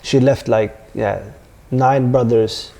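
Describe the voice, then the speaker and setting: A man's voice: mid-range voice, fairly even intonation, slow, gravelly, warm tone. A man in his thirties who grew up in Sweden, a football player talking earnestly and reflectively in a sit-down interview on a lapel mic. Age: 30s. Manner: earnest, reflective, frequent restarts. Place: dim studio lounge with sofa.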